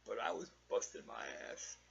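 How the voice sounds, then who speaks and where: A man telling a personal story casually and off the cuff, in a frank, rambling way with plenty of swearing. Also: moderate pace. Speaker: storyteller recounting his own work life. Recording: dull lo-fi sound with clear background noise.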